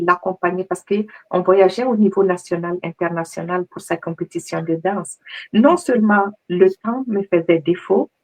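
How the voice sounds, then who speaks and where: A middle-aged woman from Mali, a guest coach, answering interview questions in a lively, conversational way. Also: on the low side, gravelly, poor audio.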